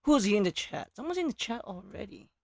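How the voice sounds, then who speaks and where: A game livestreamer with a hoarse, partly lost voice, chatting casually and excitably with viewers. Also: mid-range voice, fast, no echo, studio quality. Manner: excitable, exclaiming greetings to chat. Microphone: close microphone.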